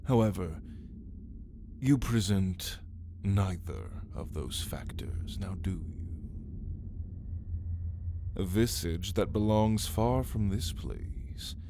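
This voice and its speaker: A man playing an ancient, arrogant male vampire in an ASMR roleplay, taunting the listener theatrically with drawn-out, partly whispered words. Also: sits low, animated, warm tone, slight background noise, full character voice. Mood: musing, taunting.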